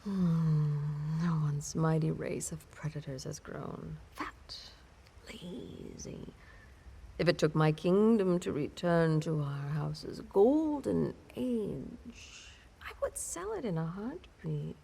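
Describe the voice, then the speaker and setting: A woman playing a cunning, disdainful vampire mistress in an intimate audio drama, with haughty archaic phrasing and a breathy, whispery delivery. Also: low voice, animated, dark tone, heavily acted. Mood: brooding disdain. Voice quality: breathy, whispery, with creaky edge. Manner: haughty, archaic, theatrical phrasing with lingering pauses. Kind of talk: intimate scripted roleplay audio drama monologue.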